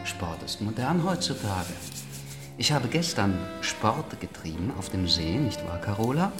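An actor playing a querulous, ailing baron with a mocking, grumbling manner in a radio play. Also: male voice, on the low side, very animated, unhurried, music in the background. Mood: sardonic. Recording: roomy, echoing interior.

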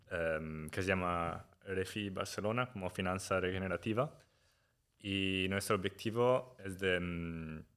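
Deep-voiced man with an Italian accent. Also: fairly bright tone. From Italy. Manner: halting, searches for words, many fillers. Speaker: guest, cooperative member. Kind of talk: casual podcast conversation.

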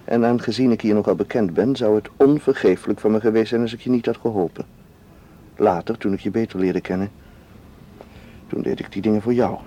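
Actor as devoted, persuasive male suitor for radio drama; lowish, warm tone, calm insistence, faint noise. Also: fairly quick, close to an everyday voice. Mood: earnest. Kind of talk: Dutch radio play acting.

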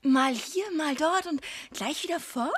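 A woman's voice: high voice, unhurried, background noise. A woman playing a coquettish, domineering and fickle sweetheart in a radio drama, with exaggerated cooing delivery. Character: flirtatious, domineering, fickle sweetheart, tiny storybook character.

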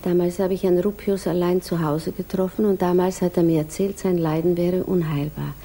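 An actress playing a withdrawn, uneasy young widow in a 1960s radio play, reserved. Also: female voice, fairly low pitch, steady tone, normal speed, creaky, dark tone. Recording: faintly noisy 1960s radio recording.

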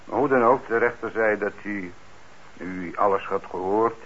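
An actor playing a calm official in a radio drama, explaining things in a measured, conversational way. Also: male voice, lowish voice, lightly acted. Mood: matter-of-fact. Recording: dull, noisy old radio recording.

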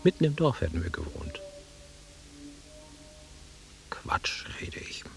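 An actor playing a volatile, nostalgic country-bred man in a German radio drama, brooding and taunting, with a rough husky voice that swings from muttering to outbursts. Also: low voice, very animated, normal speed, in a whisper, soft background music, exaggerated voice. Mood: subdued, muttering.

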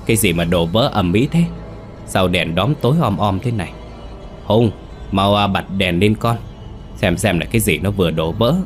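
A man voicing a frantic elderly grandfather, shouting urgently in the dark. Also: deep voice, moderate pace, faint music behind, close to an everyday voice. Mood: alarmed.

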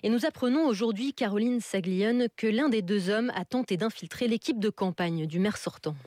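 A woman's voice: mid-range voice, moderate pace, a little mellow, no room sound. Woman radio newsreader, dry studio sound. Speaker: news presenter. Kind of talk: scripted radio news bulletin.

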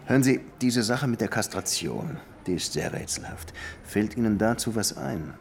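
A weary, sardonic police detective in a radio crime play. His voice sits low, and he questions people with dry irony. There is clear ambient noise behind him. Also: expressive, normal speed. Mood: probing. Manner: dry, ironic, probing. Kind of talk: German radio crime drama acting.

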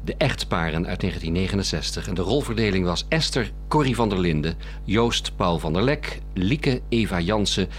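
Low-pitched male radio announcer. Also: lively intonation, normal speed, faint music behind. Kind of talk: back-announcement of an archive radio play.

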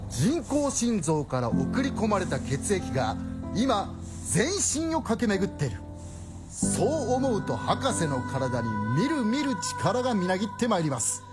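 A man's voice: sits high, big pitch swings, unhurried, loud music behind the voice. A man narrating a radio drama as a theatrical storyteller with old-fashioned, dramatic phrasing.

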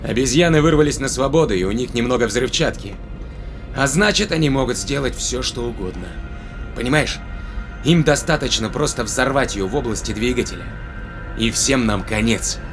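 An actor playing a grimly determined spaceship captain in a science fiction audio drama, blunt, terse and commanding. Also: male voice, mid-range voice, expressive, moderate pace, soft background music, close to an everyday voice.

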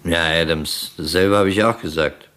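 A persistent police detective in a crime radio play. His voice sits low, and he questions in a dry, sceptical, conversational manner. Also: normal speed, a bit bright. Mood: wry, puzzled. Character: male police detective, matter-of-fact, persistent, dryly sceptical.

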